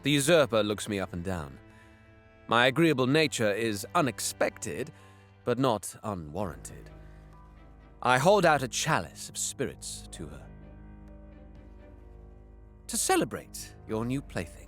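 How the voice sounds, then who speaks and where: A man playing a bitter, scheming young alien warrior, narrating and speaking with measured, guarded care in an audio drama. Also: mid-range voice, sing-song, fairly bright tone, soft background music. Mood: feigned deference. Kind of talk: first-person dramatic narration and dialogue.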